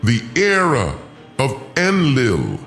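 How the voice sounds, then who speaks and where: A man's voice: medium pitch, wildly swooping pitch, takes it slowly, creaky, a bit bright, faint music behind, pitched above the performer's own voice, full character voice. A man playing an imperious, gloating alien lord in an audio drama, with a pompous, commanding and menacing delivery. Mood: gloating, grandiose. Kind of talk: first-person audio drama villain.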